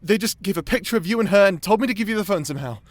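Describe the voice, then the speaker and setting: A man's voice: high-pitched, animated, rapid-fire, slight background noise. A man playing a nervous young street thug in an audio drama, flustered, pleading and slangy. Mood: scared, pleading.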